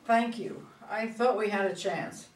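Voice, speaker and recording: A woman playing a tough but soft-hearted older politician in an amateur play reading, dry and wry. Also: mid-range voice, measured pace, lightly acted. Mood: gracious. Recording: distant, echoey room, faint noise.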